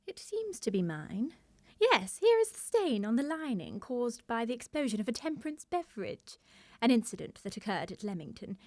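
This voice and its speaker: Prim, swooping governess. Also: female voice, a little high, very animated, normal speed, dry recording, clean studio sound. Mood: pleased, fussy. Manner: precise, formal, melodramatic. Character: prim, flustered, unmarried older governess, confessing precisely. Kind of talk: radio play acting, period comedy.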